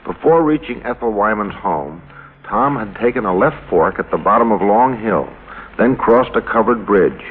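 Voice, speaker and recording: A man's voice: lowish voice, expressive, moderate pace, slight background noise. A man reading scripted narration for a vintage radio mystery. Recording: muffled old radio recording with music.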